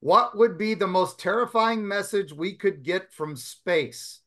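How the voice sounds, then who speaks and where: Male podcast host, upbeat and jokey, bright slightly high voice. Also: measured pace. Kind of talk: casual group chat, reading listener emails.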